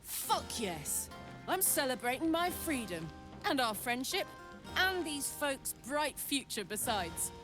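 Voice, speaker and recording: An actress playing a brash, free-spirited adventurer in a video game, with a blunt and hearty manner. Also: female voice, sits high, big pitch swings, slow pace, heavily acted. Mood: celebratory. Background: music.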